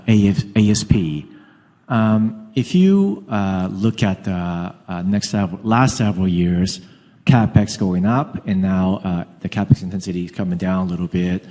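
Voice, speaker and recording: A man asking an analyst's questions on a conference call, unscripted and halting, rephrasing as he goes. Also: sits low, warm tone, in a large hall.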